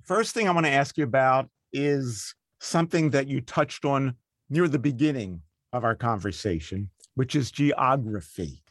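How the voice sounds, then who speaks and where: A friendly man hosts an interview, building long, thoughtful questions and reacting with warm enthusiasm. His voice is recorded close and dry, with no room sound. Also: mid-range voice, animated, normal speed, dry recording. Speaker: podcast host. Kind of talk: interview hosting.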